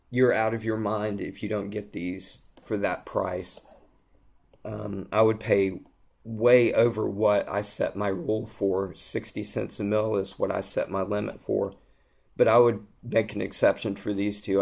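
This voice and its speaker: Man, hoarse, gravelly, low, flat delivery, rambling fragrance review vlog. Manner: gushing, rambling, lots of ums and restarts. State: hoarse, losing his voice. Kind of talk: casual product review vlog.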